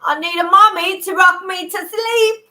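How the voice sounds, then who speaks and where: A woman's voice: very high voice, moderate pace, sharp tone, far from the mic, voice pushed up. A woman voicing a needy, whiny little baby, babyish and mock-pleading. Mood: whiny, playful.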